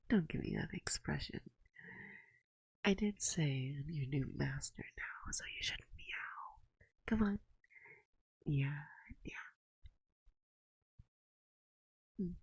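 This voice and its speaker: A woman playing a dominant, teasing and affectionate forest witch in an ASMR roleplay, speaking in a hushed, breathy voice that often drops to a whisper, close to the microphone. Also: low-pitched, animated, dry recording, full character voice, low-quality recording. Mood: playfully commanding. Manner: slow, soothing, teasing, gently dominant. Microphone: close binaural microphone.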